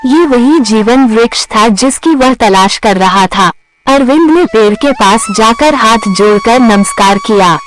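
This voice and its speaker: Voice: mid-range voice, measured pace, fairly bright tone, poor audio. A storyteller reading a scripted moral tale in a narrating style. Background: background music.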